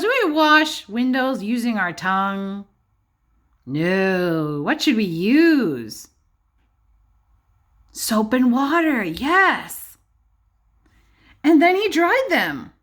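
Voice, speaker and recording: Animated woman reading aloud to young children. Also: on the high side. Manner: expressive, slow and instructive, often checking with rhetorical questions. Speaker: early-years classroom teacher reading a picture book aloud.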